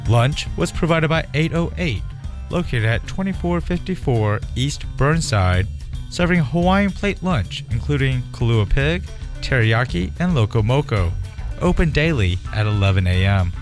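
A man reading sponsor announcements in a slow, even way. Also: mid-range voice, soft background music.

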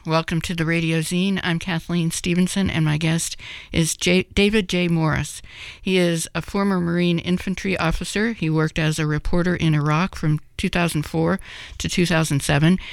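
A woman radio host in a studio, giving measured, formal introductions to her guest. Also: sits low, gravelly, no room sound. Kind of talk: radio interview.

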